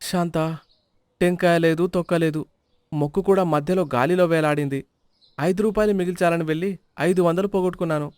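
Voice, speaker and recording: A man's voice: fairly high pitch, steady tone, a little fast, long pauses, darkish tone, clean tone, no room sound, close to an everyday voice. A man voicing a stingy middle-aged village man in a storybook tale, whiny and always counting money. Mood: rueful. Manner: whiny, counts every rupee. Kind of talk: storybook voice acting.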